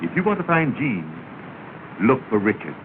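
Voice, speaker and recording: An actor playing a kindly middle-aged father and host, speaking in a reassuring, measured way in a 1930s film. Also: mid-range voice, very animated, lightly acted. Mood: soothing. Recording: tinny hissy 1930 film soundtrack.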